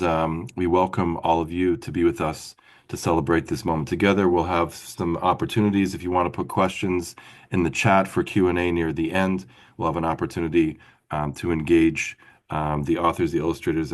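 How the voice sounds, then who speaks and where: A man hosting an online book celebration over a video call, effusive and full of praise. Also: low voice. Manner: effusive, warm praise with fillers. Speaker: host. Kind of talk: online event introduction.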